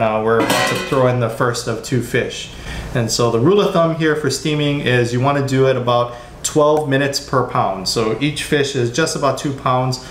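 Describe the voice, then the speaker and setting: Man, middling pitch, casual home cook, echoey kitchen. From Hawaii, US. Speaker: home cook demonstrating a recipe. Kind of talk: casual cooking demonstration. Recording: roomy kitchen echo with background noise.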